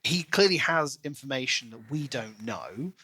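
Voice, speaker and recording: A man's voice: medium pitch, expressive, moderate pace, pushed and bright, slightly rough, no echo. A man co-hosting a podcast, casually discussing a book in a speculative, questioning way. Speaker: podcast co-host.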